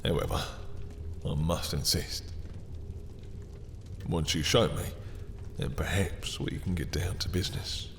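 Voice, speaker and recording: A low-pitched, dominant vampire acted by a man speaks with silky, mocking politeness, lingering over his words. It is an intimate, obsessive audio-drama performance. His voice rings in long reverb, with some noise behind it. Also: unhurried, long pauses. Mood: silky, covetous. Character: dominant, obsessive male vampire taunting a captured hunter.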